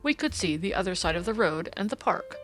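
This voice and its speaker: 女性が演じる古風で尊大な英国貴族セント・サイモン卿、堅苦しい口調、背景に音楽. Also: female voice, on the low side, lively intonation, fairly quick, music in the background, close to an everyday voice. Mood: haughty, doubtful. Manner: formal, stiff.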